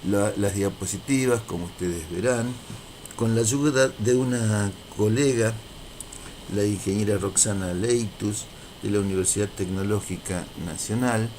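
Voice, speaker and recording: A man lecturing in a deliberate, didactic way, repeating key phrases for emphasis as he presents prepared material. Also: on the low side, a little mellow, a little hiss or hum. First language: Spanish. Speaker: university lecturer.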